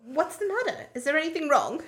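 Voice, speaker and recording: A woman's voice: high voice, very animated, a little fast, fairly bright tone. A woman playing a nosy, genial older innkeeper with a theatrical, welcoming manner in a student radio play. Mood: obliging.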